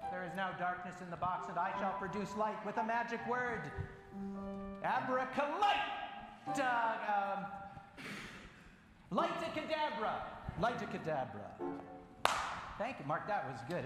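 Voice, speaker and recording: A man's voice: high voice, animated, measured pace, long pauses. A man leading a church event, doing playful, theatrical magic-show patter for children with joking, hesitant asides. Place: large indoor church hall with an audience. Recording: echoey reverberant hall, music behind.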